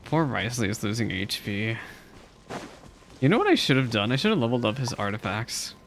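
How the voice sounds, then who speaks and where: A gamer giving casual, expressive, self-deprecating gameplay commentary, often repeating phrases. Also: mid-range voice, brisk, slight background noise. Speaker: gaming content creator. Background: loud game music.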